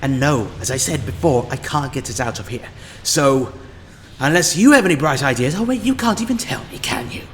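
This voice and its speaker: A man's voice: mid-range voice, expressive, a little hiss or hum, close to an everyday voice. A man playing a scheming, self-important male time-meddler in a fan audio drama, speaking with sardonic impatience. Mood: impatient. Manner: sardonic and impatient, slow deliberate delivery.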